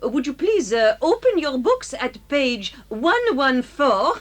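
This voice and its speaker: An actress playing a strict, easily exasperated French schoolmistress with a French accent and halting English, in a children's audio drama. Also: female voice, sits high, very animated, heavily acted. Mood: brisk, stern. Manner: commanding, theatrical, halting in English.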